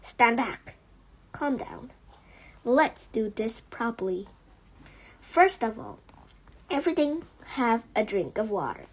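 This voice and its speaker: Storybook father fox, played by a woman: jubilant, bossy, proud; high voice, commanding exclamations. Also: very animated, slow, pauses often, slightly rough, full character voice, low-quality recording. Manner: exclamatory, commanding, self-congratulating.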